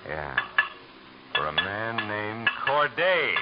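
Hard-boiled private eye, low lively voice, quick, hissy old radio broadcast. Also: male voice, highs cut off. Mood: tough. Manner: clipped, dry, wry narration. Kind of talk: 1940s radio drama acting.

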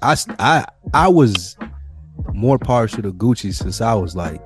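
A man's voice: fairly low pitch, expressive, a little slow, soft background music. A man, chatting casually as a podcast host in profane, repetitive slang. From southern United States. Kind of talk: casual chat.

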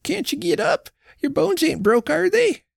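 A woman's voice: sits high, very animated, unhurried, creaky, dry recording, clean studio sound, pitched above the performer's own voice, heavily acted. A man voicing a frail, frightened old farm wife who pleads anxiously with her husband. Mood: anxious pleading. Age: older. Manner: pleading, fluttery.

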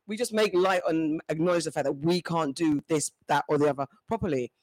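Fast-talking high-voiced man. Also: no room sound. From Britain. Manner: animated, talks fast with restarts. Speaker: podcast co-host. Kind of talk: casual group discussion.

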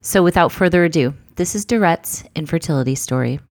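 A woman's voice: fairly low pitch, animated, fairly quick. A woman hosting a podcast interview, effusive and enthusiastic as she talks with her guest. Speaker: podcast host.

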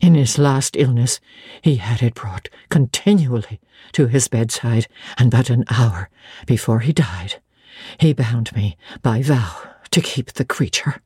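A narrator voicing a bitter, ailing older gentlewoman in a storybook reading, querulous and scornful as she rambles through her grievances. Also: medium pitch, expressive, unhurried, slightly rough, dry recording, clean studio sound, voice pushed down. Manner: querulous, scornful, agitated muttering.

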